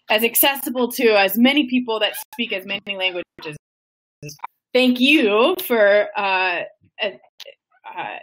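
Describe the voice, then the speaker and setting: A woman's voice: medium pitch, a bit bright, rough recording. A woman hosting an online webinar, moderating casually and encouraging the other speakers. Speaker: webinar host.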